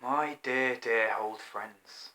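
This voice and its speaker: A man voicing a gentle, moralising fly in a children's story, speaking in solemn, measured speeches. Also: mid-range voice, pitched below the performer's own voice. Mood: solemn reproach.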